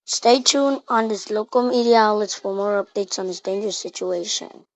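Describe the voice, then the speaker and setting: A woman reading out an improvised mock emergency alert in a halting way, repeating and restarting her words. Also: medium pitch. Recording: muffled, roomy home recording.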